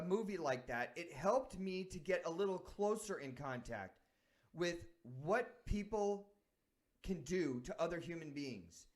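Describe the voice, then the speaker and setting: A man from San Diego, hosting a sports talk show and reflecting earnestly in a casual way. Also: sits high. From San Diego, United States. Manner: earnest, thinking aloud with restarts.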